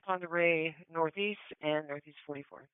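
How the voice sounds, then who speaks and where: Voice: mid-range voice, moderate pace, smooth, no room sound. An American police dispatcher relaying procedural traffic over a two-way radio in a clipped, businesslike way. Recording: thin phone-like police scanner radio.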